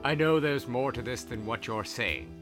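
Male actor as courteous manservant, mid pitch, deferential, audio drama, loud music. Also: moderate pace, natural voice. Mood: sincere, deferential. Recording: loud music under the dialogue.

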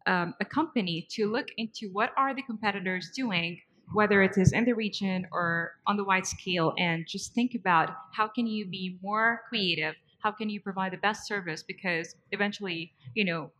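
A woman's voice: medium pitch, moderate pace, hardly any pauses, a bit bright, poor audio. Female moderator with loose, conversational phrasing. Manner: loose phrasing, frequent "you know" and "like". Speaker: panel moderator.

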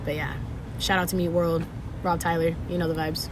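Young woman from sacramento, chill slangy talk, lower voice. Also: level tone, fairly quick, background noise. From Sacramento, California. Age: young adult. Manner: laid-back slangy talk, frequent laughs and fillers. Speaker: DJ guest. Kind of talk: casual interview chat.

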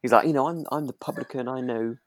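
A man co-hosting a podcast in relaxed chat, hesitant and agreeable as he thinks aloud. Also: mid-range voice, fast, no room sound. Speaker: podcast co-host. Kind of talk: relaxed chat discussing a radio drama.

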